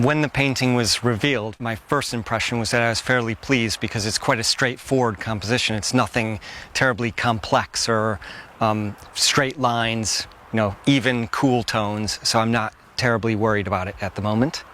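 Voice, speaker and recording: A man with an American accent, a portrait painter and art teacher, talking calmly to camera with some hesitation. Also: mid-range voice, moderate pace, a little hiss or hum. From Illinois, USA. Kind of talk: interview to camera.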